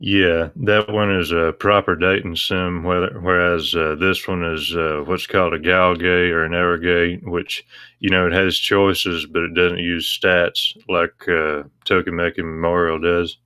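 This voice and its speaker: A man answers as an interview guest in a low voice with very little rise and fall. He explains casually and matter-of-factly, with a clear, bright edge to his sound. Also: level tone, a little slow, dry recording.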